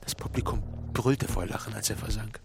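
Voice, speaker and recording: An actor playing a weary, bitter party member in a radio drama, muttering halting, sardonic confessions to himself with a gravelly voice. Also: male voice, on the low side, fairly even intonation. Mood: grimly recalling. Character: weary, bitter male party member confiding forbidden thoughts. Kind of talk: intimate German radio drama monologue.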